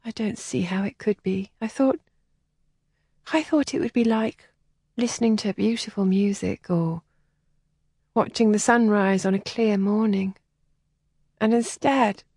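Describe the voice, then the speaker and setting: A woman narrator voicing a shy, distressed young woman who speaks in a breathy, trembling, often whispered voice. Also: medium pitch, measured pace, pauses often, a little mellow, no echo, studio quality. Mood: whispery. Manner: pleading and anxious. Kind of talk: audiobook character voicing.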